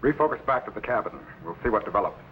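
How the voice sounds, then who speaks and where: An actor playing a confident time-travelling adventurer and mentor, brisk and explanatory, in old-time radio science fiction. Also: male voice, fairly low pitch, lively intonation, lightly acted. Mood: confident, intent. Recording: dull, noisy old radio recording.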